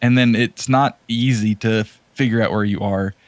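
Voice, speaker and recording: A man co-hosting a podcast, chatting casually about video games in a halting, rambling way. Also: on the low side, fairly even intonation, dry recording. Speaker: podcast co-host.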